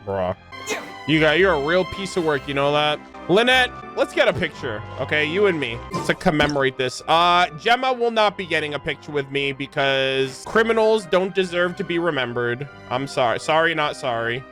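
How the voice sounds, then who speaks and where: Animated woman doing gameplay commentary. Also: sits low, normal speed, faint music behind. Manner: slangy, teasing, expressive. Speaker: gaming streamer. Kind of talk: casual excited gameplay reaction commentary.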